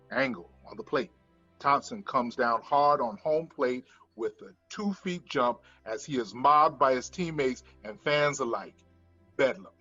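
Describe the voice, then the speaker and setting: A man's voice: medium pitch, a bit bright, muffled recording. Male screenplay narrator over music. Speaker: narrator reading stage directions.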